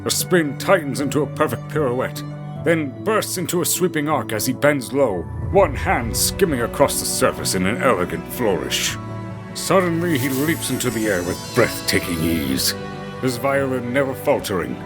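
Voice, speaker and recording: Man, story narrator, expressive pitch movement, scripted delivery, music underneath. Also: moderate pace.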